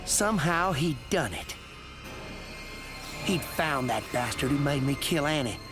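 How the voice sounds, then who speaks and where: Vengeful old redneck farmer, Southern accent. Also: male voice, fairly high pitch, lively intonation, unhurried, music in the background. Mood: bitter, grimly satisfied. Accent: rural Southern US. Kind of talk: dramatic scripted first-person video game narration.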